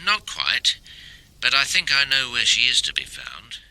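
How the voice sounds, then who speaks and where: An actor playing a polite, measured male private detective through a telephone filter in a radio drama. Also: mid-range voice, huge pitch swings, bright, forceful tone, no echo, exaggerated voice, low-quality recording. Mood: polite, guarded.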